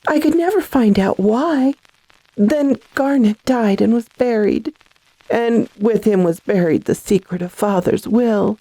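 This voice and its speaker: A woman's voice: fairly high pitch, unhurried, dark tone, no echo, poor audio. An actress playing a frightened, bewildered, fragile lady in a radio melodrama, hesitant and breathless. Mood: hesitant confiding. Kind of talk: classic radio drama acting.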